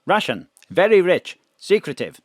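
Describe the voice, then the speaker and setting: A prim, courteous robotic car assistant in a radio drama. Its speech swoops up and down, and it sounds faintly reproachful. The recording is dry and close. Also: male voice, high-pitched, big pitch swings, unhurried, no room sound, exaggerated voice. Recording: dry home studio.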